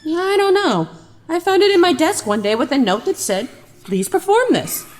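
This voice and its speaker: A woman's voice: very high voice, sing-song, moderate pace. A woman playing an encouraging, focused drama director in audio drama dialogue. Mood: encouraging, brisk.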